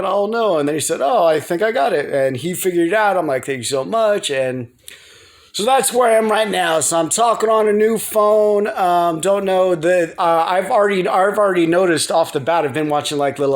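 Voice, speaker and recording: An American man rambling casually to viewers in a vlog, halting often, repeating himself and circling back. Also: a little high. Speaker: video blogger.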